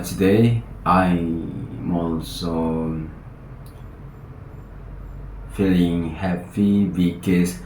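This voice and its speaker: A man from Indonesia with an Indonesian accent, a native Indonesian speaker, vlogging in English with halting speech full of fillers and restarts. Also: deep voice, darkish tone, slight background noise. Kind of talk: casual vlog, practising English. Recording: echoey room.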